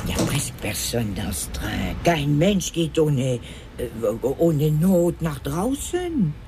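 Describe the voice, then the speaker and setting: An actress playing a talkative, complaining train passenger in a radio play, given to exclamations. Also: female voice, low voice, big pitch swings, slow pace, dark tone, slight background noise, exaggerated voice. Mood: incredulous. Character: chatty, grumbling francophone Swiss woman train passenger.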